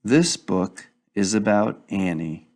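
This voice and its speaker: A man reading a children's picture book aloud in a deliberate, instructive way, as if to young children. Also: lowish voice, expressive, a little mellow. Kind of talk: read-aloud storybook narration.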